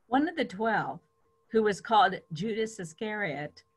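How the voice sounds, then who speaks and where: A woman's voice: mid-range voice, expressive, measured pace, husky. A woman giving a dramatic reading of scripture as a church reader.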